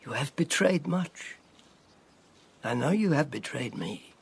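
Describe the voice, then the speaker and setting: An actor playing a shrewd, worldly spy handler in a radio drama, with a husky, breathy voice and a measured, theatrical, commanding manner. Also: male voice, medium pitch, sing-song, heavily acted. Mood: intimate, persuasive.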